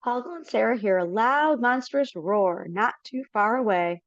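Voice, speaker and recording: A woman reads stage directions briskly, her voice moving up and down. The audio is dry and a little muffled, from a home mic on a video call. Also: on the high side, animated, no echo. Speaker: narrator.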